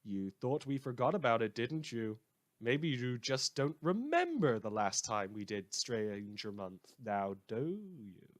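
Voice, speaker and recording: A sardonic male radio newsreader acted by a man presents satirical bulletins from a dystopian world. His mid-pitched voice is highly animated, rising and dipping freely. He is theatrical and emphatic, with mock cheer over a wry, sarcastic edge. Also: a little slow. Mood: teasing, ominous. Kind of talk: satirical scripted radio news broadcast.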